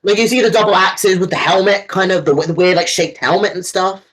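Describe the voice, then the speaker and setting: A man's voice: high-pitched, steady tone, a little fast, a loud crowd. A man co-hosting a casual fan discussion, talking in an enthusiastic, rambling way with some casual swearing. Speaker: co-host.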